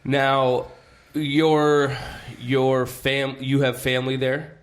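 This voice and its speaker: Relaxed man with a mid-range voice. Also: medium pitch, very slow. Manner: relaxed, frequent short acknowledgments. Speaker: podcast host. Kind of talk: casual podcast interview.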